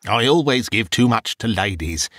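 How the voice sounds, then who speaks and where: A man voicing a shrewd old male junk dealer who haggles in a slow, wheedling way. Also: lowish voice, expressive, a bit bright, no room sound, studio quality. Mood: sly.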